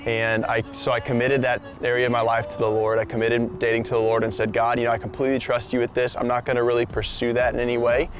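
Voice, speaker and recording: A man's voice: mid-range voice, monotone, fast, hardly any pauses. A young adult man casually telling his own love story in a personal, conversational style.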